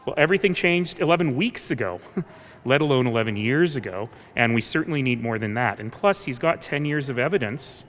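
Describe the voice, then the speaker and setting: A man giving an argumentative public speech as a civic official, deliberate and pointed, with emphatic pauses. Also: expressive, fairly bright tone. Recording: echoing hall with low rumbling background noise.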